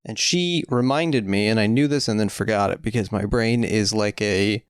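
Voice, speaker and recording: A man's voice: fairly low pitch, normal speed, clean tone, no echo, clean studio sound. A man co-hosting a podcast in casual chat, joking and drifting into rambling tangents. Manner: rambling, jokey, riffs on tangents. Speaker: podcast co-host.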